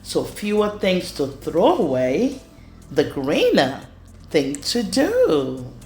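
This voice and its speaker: A woman reading a rhyming children's storybook aloud in an expressive way. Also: on the low side, takes it slowly. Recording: roomy echo with music underneath. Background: music.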